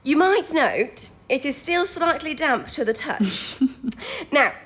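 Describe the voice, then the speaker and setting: An actress playing an eager, mischievous nineteen-year-old British codebreaker in a period audio drama, polite, earnest and excitable. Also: female voice, high voice, slow, exaggerated voice. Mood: playful, excited. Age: young adult. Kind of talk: period radio drama acting.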